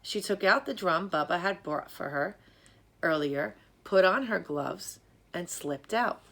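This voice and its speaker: A woman reading a children's story aloud in a measured, expressive storytelling manner. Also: on the low side, gravelly. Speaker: storybook reader. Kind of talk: scripted narration, children's story read aloud.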